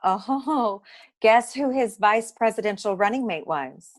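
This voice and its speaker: Detective's no-nonsense female partner played by a woman, slightly high pitch, wry, matter-of-fact, comic noir radio play. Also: animated, unhurried. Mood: skeptical, brisk.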